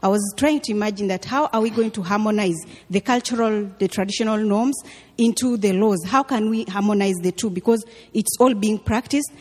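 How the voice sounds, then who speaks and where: A woman's voice: mid-range voice, brisk, a little mellow. Ugandan woman in a reverberant hall. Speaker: audience member, visiting scholar. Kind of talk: audience question at a public talk. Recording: echoey hall with long reverb.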